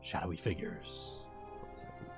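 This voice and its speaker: A man running a livestreamed tabletop game as game master, narrating casually and haltingly, often repeating his phrases. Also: over music.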